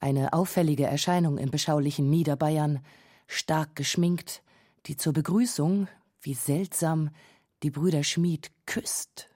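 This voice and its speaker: Dark, low-voiced female documentary narrator. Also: unhurried, dry recording, clean studio sound. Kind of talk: scripted radio documentary narration.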